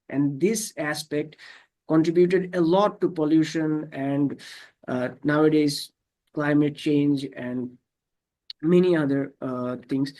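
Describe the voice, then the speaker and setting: A man from Bangladesh walks through slides on a video call. His voice sits a little high, and he speaks haltingly, filling pauses with small fillers. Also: a little mellow, low-quality recording. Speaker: presenter. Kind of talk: slide presentation.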